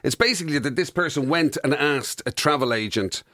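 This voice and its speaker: A man's voice: fairly high pitch, lively intonation, brisk, bright, forceful tone, no room sound, studio quality. An Irish man co-hosting a radio show, bantering casually and reacting to his partner. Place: radio studio.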